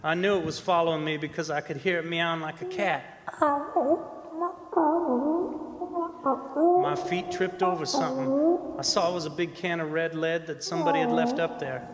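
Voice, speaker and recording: An actor playing a haunted, obsessed older oil driller, telling a horror tale in a dramatic, halting, anguished way in a stage radio play. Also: male voice, on the high side, lively intonation, close to an everyday voice. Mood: fearful. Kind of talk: old-time radio horror monologue performed on stage. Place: performing arts center stage. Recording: reverberant stage hall with noise.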